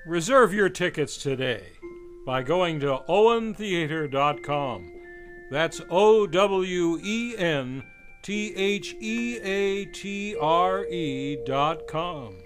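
A man's voice: on the high side, animated, slow pace, fairly bright tone, soft background music. A man reading a scripted radio advertisement. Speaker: advertisement announcer.

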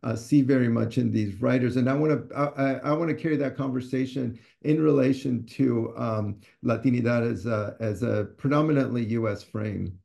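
Author, 60s, from Ecuador: gravelly, mellow voice, halting and thoughtful, webcam in a study. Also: male voice, mid-range voice. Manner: thoughtful, hesitant with frequent fillers and restarts. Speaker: author and scholar. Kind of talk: conversational talk on a video call. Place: home study with bookshelves. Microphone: video call webcam.

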